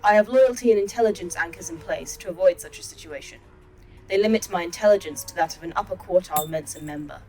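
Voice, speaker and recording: A woman playing a formal, polite artificial intelligence in an audio drama, measured and precise. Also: on the low side, a little mellow, faint music behind, close to an everyday voice, poor audio. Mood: coolly assured. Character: calm, formal, superior artificial intelligence computer. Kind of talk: audio fiction podcast acting.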